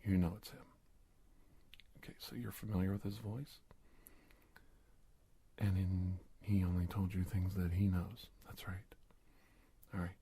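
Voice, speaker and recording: A man playing a calm, reassuring government agent in a soft-spoken ASMR roleplay, breathy and gravelly, hesitant and gentle, often dropping toward a whisper. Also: low voice, a little slow, long pauses, slight background noise. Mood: calm, measured.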